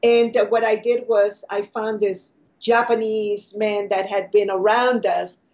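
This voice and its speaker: A woman's voice: medium pitch, little pitch movement, unhurried, darkish tone. An older woman from Chile, speaking earnestly as a guest over a video call, telling her story in a reflective way.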